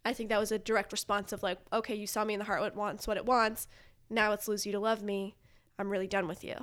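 A woman chatting casually about pop culture, retelling things in an animated way with quoted asides. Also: medium pitch, quick pace. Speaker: podcast guest.

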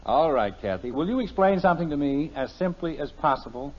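A man's voice: a little high, animated, a little fast. A man playing an exasperated suburban father in a vintage radio sitcom, dryly sarcastic, with wordy, mock-formal phrasing. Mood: dry, impatient. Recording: muffled old radio broadcast recording.

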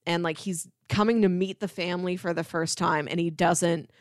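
A woman's voice: lowish voice, steady tone, moderate pace, no room sound, clean studio sound. A woman co-hosting a casual podcast, explaining things informally with many asides. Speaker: podcast co-host. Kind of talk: casual chat about sitcom episodes.

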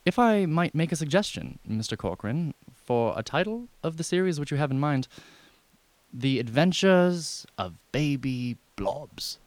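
Someone acting a very proper valet in a radio play, quietly firm, lilting pitch, dry close sound. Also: big pitch swings, dry recording. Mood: polite, deferential. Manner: formal, measured, politely understated. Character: discreet, deferential valet, unflappable and quietly firm. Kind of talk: radio comedy drama acting.

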